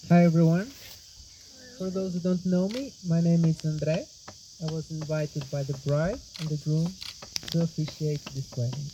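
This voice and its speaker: A man from Portugal with a Portuguese accent, speaking Portuguese natively and giving an earnest, halting wedding speech as officiant. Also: a little high, fairly even intonation, a little hiss or hum. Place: outdoor wedding. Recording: dull sound, loud outdoor crickets.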